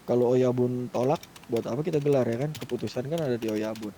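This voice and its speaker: Young Indonesian man, Jakarta accent, very dull dark timbre, casual gamer chat, faint keyboard noise. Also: medium pitch, quick pace. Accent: Indonesian, Jakarta colloquial. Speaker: GTA roleplay gamer in a group meeting. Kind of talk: casual group chat in an online game. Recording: muddy gaming mic with keyboard noise.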